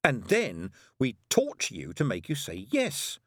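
A man's voice: mid-range voice, huge pitch swings, a little slow, slightly rough, no echo, studio quality, voice pushed up, full character voice. A man voicing a bossy, exasperated young girl in a storybook, speaking insistently and severely. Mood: bossy, eager. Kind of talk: audiobook character voice.

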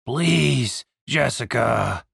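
A man voicing a half-asleep bearded cleric who mumbles slowly in a gravelly, husky voice. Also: medium pitch, lively intonation, fairly bright tone, no echo, studio quality, full character voice. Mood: groggy. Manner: slow, mumbling.

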